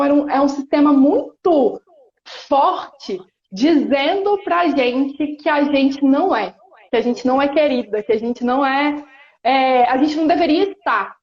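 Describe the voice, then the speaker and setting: A woman in her thirties from Espírito Santo, Brazil, with a Brazilian accent, speaking as a guest in an earnest, reflective chat with frequent pauses and restarts. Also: high-pitched, a little mellow. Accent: Brazilian Portuguese, Espírito Santo. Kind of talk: casual chat on a live stream. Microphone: phone or laptop on a video call. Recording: roomy, dull live-stream audio.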